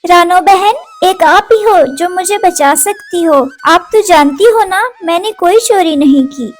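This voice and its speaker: A woman's voice: extremely high pitch, a little slow. A woman voicing a meek, pleading poor mother bird who works as a maid in a cartoon story. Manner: humble, pleading. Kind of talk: Hindi cartoon story dialogue.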